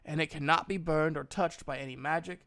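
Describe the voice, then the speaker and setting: A man voicing a proud elf mage in a storybook reading, a gruff but kindly mentor with emphatic, old-fashioned speech. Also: fairly high pitch, steady tone, brisk, bright, forceful tone. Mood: approving, lecturing.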